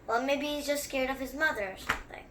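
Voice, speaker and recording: A young-sounding story reader who reads a picture book aloud and chats through it with expressive, wandering asides. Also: high voice, fast, noisy background. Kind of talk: children's story time read-aloud with excited running commentary.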